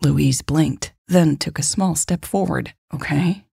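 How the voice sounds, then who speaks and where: A woman's voice: low voice, slow, creaky, dark tone, breathy edge, dry recording, clean studio sound. A woman hosting a podcast and reading scripted fiction as its narrator. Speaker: podcast host and author narrating her story.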